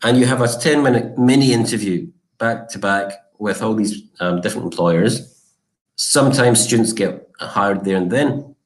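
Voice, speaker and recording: A man originally from Scotland, a college marketing director, giving a relaxed, informative live online presentation with frequent fillers. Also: on the low side, normal speed, poor audio.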